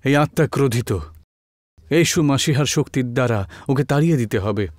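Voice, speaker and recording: A man's voice: medium pitch, moderate pace, no echo, studio quality, faint music behind, lightly acted. A man voicing a stern, ominous priest, solemn and commanding, in a dramatic horror cartoon. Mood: grave warning. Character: stern, ominous priest exorcising spirits, secretly vengeful.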